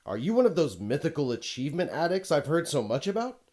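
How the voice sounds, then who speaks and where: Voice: medium pitch, very animated, quick pace, darkish tone, close to an everyday voice. An actor playing a pompous, exasperated video game narrator who scolds the player in a dramatic, haughty, petulant way. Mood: weary disbelief. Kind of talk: theatrical scripted game narration.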